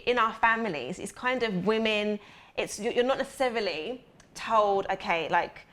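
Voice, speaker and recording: A woman's voice: medium pitch, normal speed, fairly bright tone. A woman from Zimbabwe hosting a podcast, asking long framing questions and affirming her guest. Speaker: podcast host. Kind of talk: casual interview chat.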